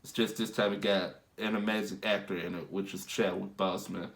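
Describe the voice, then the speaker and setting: A man's voice: deep voice, level tone, fairly quick, vocal fry, fairly bright tone. A congested young man who is under the weather, rambling casually through a movie review with many fillers and restarts.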